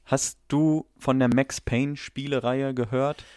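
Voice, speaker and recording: A man's voice: mid-range voice, steady tone, measured pace, a little mellow, clear, resonant tone, no room sound, studio quality. A man chatting casually and enthusiastically about a film on a podcast, in loose colloquial speech. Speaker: podcast co-host. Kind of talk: casual film review chat.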